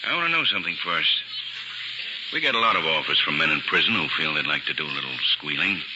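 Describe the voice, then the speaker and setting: An actor playing a shrewd, confident prosecutor in a 1940s radio drama, speaking in a businesslike, probing way. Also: male voice, rumbling low, lively intonation, quick pace. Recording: dull, noisy old radio broadcast.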